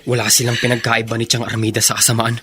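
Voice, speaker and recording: A man's voice: medium pitch, monotone, quick pace, sharp tone, slight background noise, lightly acted. A man playing an earnest, respectful, determined son in a Tagalog radio drama. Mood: indignant. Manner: earnest, polite.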